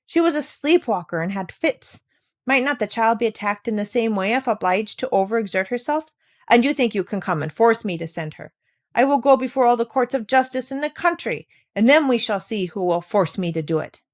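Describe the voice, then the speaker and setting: A woman's voice: fairly high pitch, animated, fairly quick, voice pushed up, lightly acted. Woman reading as a stubborn old mountain hermit. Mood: indignant. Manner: firm, blunt, determined.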